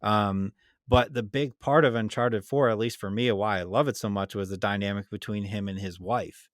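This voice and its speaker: A man's voice: fairly low pitch, fairly even intonation, normal speed, no room sound, studio quality. A man co-hosting a podcast, giving a casual review in an easygoing, plain-spoken way. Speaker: podcast co-host.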